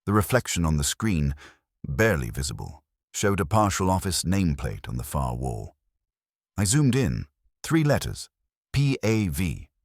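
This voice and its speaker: A man's voice: low voice, big pitch swings, moderate pace, no echo, clean studio sound. A man narrating a scripted first-person detective story in terse, clipped noir sentences. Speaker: audiobook narrator.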